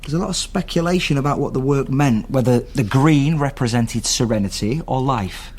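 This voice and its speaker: An actor playing an eager, inquisitive investigator in a naturalistic radio drama. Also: male voice, mid-range voice, moderate pace, darkish tone, lightly acted. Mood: intrigued. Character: eager, inquisitive male investigator tracing an artist's family.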